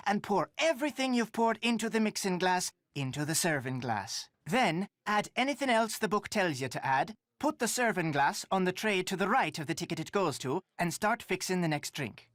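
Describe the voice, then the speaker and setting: An actor playing a brisk, folksy Irish barkeep in a video game, giving polite instructions. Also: male voice, sits high, fairly bright tone, no room sound, studio quality. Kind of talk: video game character dialogue.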